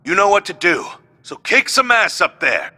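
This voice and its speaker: An actor playing a forceful, rallying male officer in a video game cutscene. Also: sits high, sing-song, moderate pace, heavily acted.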